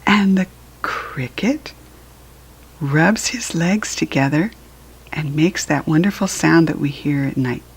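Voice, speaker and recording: A woman's voice: low voice, slow pace, a little hiss or hum. An American woman reading a picture book aloud to young children, with simple, instructive asides. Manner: acts out animal sounds while reading.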